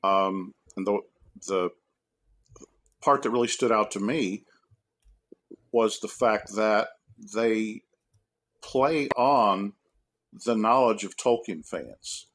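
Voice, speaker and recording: Lowish-voiced man thinking aloud. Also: slow pace, pauses often, a bit bright. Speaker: guest scholar and commentator. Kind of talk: casual discussion.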